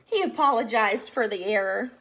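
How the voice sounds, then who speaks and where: A woman's voice: a little high, expressive, normal speed, fairly bright tone, poor audio. A woman reading a picture book aloud for children as a storyteller, expressively, talking directly to her young listeners. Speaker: read-aloud host, storyteller.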